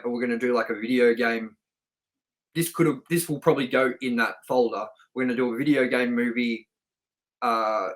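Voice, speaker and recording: A man's voice: medium pitch, rough recording. A man co-hosting a movie review show, chatting informally and bluntly, with some swearing. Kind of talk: casual review chat.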